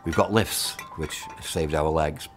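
A man's voice: very deep voice, slow pace. A middle-aged man, a factory worker recalling his years there in plain, homely words. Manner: plain-spoken, homely turns of phrase. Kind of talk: casual interview recollection. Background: music.

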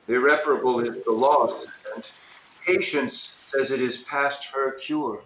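A man's voice: medium pitch, steady tone, a little slow, a bit bright, slight background noise, natural voice. A man playing a grieving, repentant king and father in a Shakespearean radio play, speaking with earnest formality. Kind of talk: Shakespearean audio drama acting. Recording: roomy, echoing home recording.

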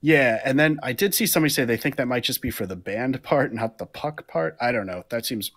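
A man's voice: medium pitch, fairly quick, fairly bright tone. A man in his thirties co-hosting a podcast from home, talking things through in a chatty way over a headset. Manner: chatty, explaining with fillers. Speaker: podcast co-host. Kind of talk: casual podcast chat. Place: room at home. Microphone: headset on a video call.

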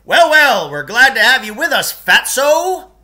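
Man doing a loud, sneering gym teacher. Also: very high-pitched, sing-song, measured pace, pitched above the performer's own voice, heavily acted. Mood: mocking, loud. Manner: loud, sarcastic, belittling. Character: overbearing, sneering male school gym teacher.

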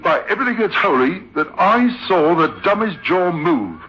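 Rattled, animated theatre manager. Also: male voice, high voice, normal speed, noisy background. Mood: shaken, bewildered. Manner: emphatic, agitated, repeats himself. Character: rattled, sceptical theatre manager telling his uncanny tale. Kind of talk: radio drama acting and first-person storytelling. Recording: muffled old radio recording with music.

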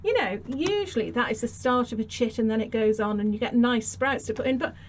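A woman's voice: a little high, lively intonation, a little fast. A British woman giving a casual, rambling garden tour in a chatty, self-deprecating way, recorded on a handheld camera. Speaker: gardening vlogger. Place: allotment garden and greenhouse. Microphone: handheld camera's built-in microphone. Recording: noisy outdoor handheld camera audio.

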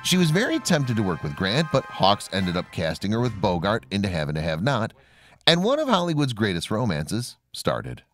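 A man with a fairly low voice delivers a scripted narration. His delivery is formal and measured, yet the intonation moves up and down in a lively way. Music plays behind him.

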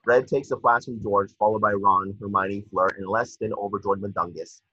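Husky, forceful man narrating a script. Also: lowish voice, fairly even intonation, moderate pace. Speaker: stage directions reader. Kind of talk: scripted narration, table read. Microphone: video call. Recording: muffled, dry video call audio.

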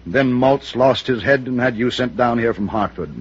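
A man's voice: medium pitch, normal speed, slightly rough, natural voice. A man playing a pompous, evasive comic with florid, haughty speech in a 1950s radio drama. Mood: dismissive. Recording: dull old radio recording.

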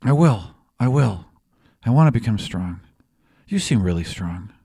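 A man voicing an anxious, eager, shy young bird-person who stammers and rambles haltingly. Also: lowish voice, very animated, creaky, mellow, slightly rough, no echo, clean studio sound, heavily acted.

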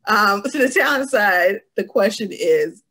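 A woman's voice: medium pitch, expressive, a little slow, pushed and bright, a little hiss or hum. A woman in her thirties moderating a panel casually and relaying audience questions, on a video call from a room at home.

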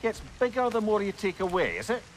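A man's voice: high voice, big pitch swings, brisk, slight background noise. A man playing a fussy, articulate, competitive dwarf in a video game. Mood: competitive, indignant.

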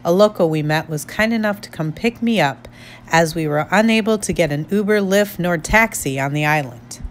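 A woman's voice: fairly low pitch, moderate pace. A woman reading an evenly paced voice-over recap. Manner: even, read-aloud recap. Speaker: vlog narrator. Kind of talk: scripted voice-over narration. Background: soft music.

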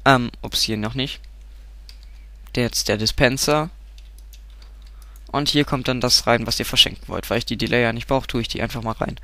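A man with a stuffy, cold-clogged voice talks through a game tutorial at a desk mic. His tone is bright and forward, the sound dry and close, with keyboard clicks behind him. He rambles, fills pauses and keeps correcting himself. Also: medium pitch, fairly even intonation, no room sound. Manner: rambling, self-correcting, frequent filler sounds. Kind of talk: casual gameplay tutorial.

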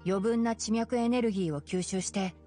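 A woman voicing a calm, scholarly young male fox-eared forest ranger in a Japanese anime game, speaking in a measured, explanatory way. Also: medium pitch, soft background music, close to an everyday voice. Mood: composed, focused.

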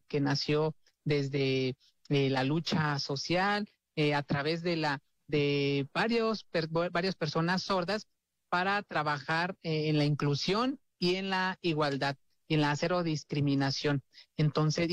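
A woman working as a sign language interpreter, voicing live in the first person with a halting delivery full of fillers and restarts. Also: low voice, no room sound.

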